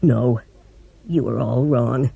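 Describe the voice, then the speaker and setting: A woman voicing a timid little old man who talks in a meek, hushed whisper. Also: low-pitched, sing-song, normal speed, gravelly, breathy edge, no echo, highs cut off, voice pushed down, exaggerated voice.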